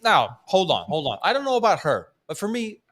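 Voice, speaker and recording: A man's voice: sits high, huge pitch swings, brisk, a bit bright. A man co-hosting a casual monster truck talk show, blunt and laid-back, chuckling as he talks. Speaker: podcast co-host. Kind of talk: casual chat.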